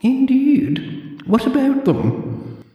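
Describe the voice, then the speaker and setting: A man's voice: very high voice, big pitch swings, very slow, dark tone, muffled recording, pitched above the performer's own voice, exaggerated voice. A man voicing a prim withered zombie librarian lady. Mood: prim, officious. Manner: pedantic, officious, haughty. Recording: echoey room with long reverb.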